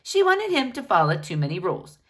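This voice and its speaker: A woman narrates a children's biography aloud in an expressive, teacherly manner. Her voice sits at an average pitch for a woman and swings widely up and down through the phrases. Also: fairly quick, slightly rough. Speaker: children's book reader.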